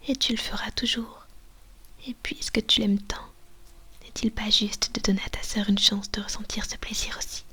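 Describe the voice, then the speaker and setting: A woman's voice: a little high, a bit bright. Woman whispering as a seductive vampire mistress. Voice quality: breathy whisper. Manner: coaxing, slow and possessive. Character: seductive manipulative adult female vampire mistress. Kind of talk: ASMR roleplay. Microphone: binaural dummy-head mic.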